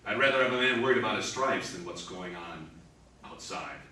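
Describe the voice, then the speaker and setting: A man's voice: fairly low pitch, expressive, fairly quick, pushed and bright. A man acting as a stern, authoritative captain of a naval spaceship in a science fiction radio drama. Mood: grave. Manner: authoritative, clipped orders. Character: stern commanding male captain of a naval rocket ship. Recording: very echoey room, distant mic, faint noise.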